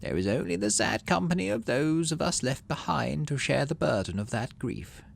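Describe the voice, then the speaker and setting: A man voicing a solemn female colony governor in a game, speaking a funeral eulogy in slow, measured, grave oratory. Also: a little high, very animated, creaky, pitched above the performer's own voice, heavily acted. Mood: solemn, grieving.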